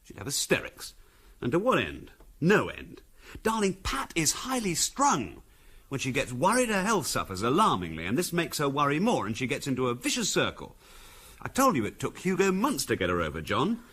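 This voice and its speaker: An actor playing an impatient, patronising English middle-class husband in a 1970s radio drama, brusque and dismissive. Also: male voice, fairly high pitch, wildly swooping pitch, normal speed, fairly bright tone, slightly rough, full character voice. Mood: exasperated, patronising. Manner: brusque, condescending.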